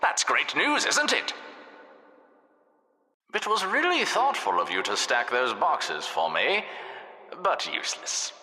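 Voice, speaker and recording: An actor playing a gleefully sadistic, petty scientist narrating a video game test, theatrical and sarcastic, over a reverberant announcer microphone. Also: male voice, a little high, slow pace, long pauses, heavily acted. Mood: smug, officious. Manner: condescending, deadpan sarcasm breaking into indignant outbursts. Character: gleefully sadistic, petty mad male scientist supervising tests. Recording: echoey hall with long reverb.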